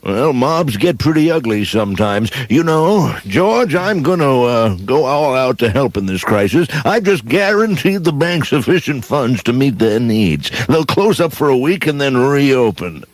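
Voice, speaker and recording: A man's voice: mid-range voice, big pitch swings, unhurried, hardly any pauses, dry recording. A man playing a smug, scheming rich old banker in a comic radio reading. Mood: falsely concerned. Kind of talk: comic radio-show reading of a film script.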